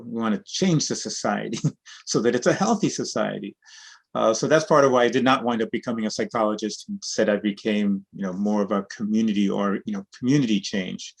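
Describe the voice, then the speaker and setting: A man's voice: lowish voice, quick pace, vocal fry, husky. A man in his sixties, originally from Cuba, speaking as an interview guest in a reflective, earnest way. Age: 60s. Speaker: guest, cooperative organizer and trainer. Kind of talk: conversational interview.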